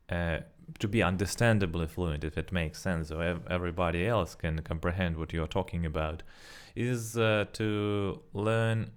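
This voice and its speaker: A young man with a low, smooth voice rambles to his viewers in English, which is not his first language. He talks loosely, with fillers and restarts as he goes. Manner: rambling, self-correcting, frequent fillers. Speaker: vlogger. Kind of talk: casual spoken practice vlog.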